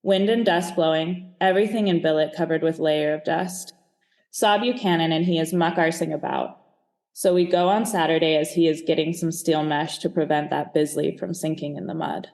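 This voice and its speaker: A man's voice: fairly high pitch, steady tone, normal speed, creaky, darkish tone. A man voicing a grumbling Second World War serviceman reading out his diary in clipped phrases. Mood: matter-of-fact.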